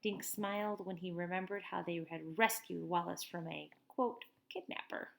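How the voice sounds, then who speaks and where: A woman in her thirties, a teacher reading a children's chapter book aloud from home into a webcam, with lively read-aloud delivery and quick asides. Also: on the low side, creaky. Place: small room at home. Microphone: webcam at desk.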